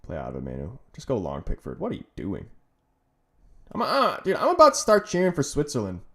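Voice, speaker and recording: A young man from Canada hosting a live football watch-along, with a mid-range voice. He rambles casually with chat and breaks into exasperated, louder outbursts at the play. It sounds like a streamer's desk mic. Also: medium pitch, huge pitch swings, a little fast, gravelly. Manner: chatty, frustrated, rambling, bursts of loud exasperation. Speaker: livestream watch-along host.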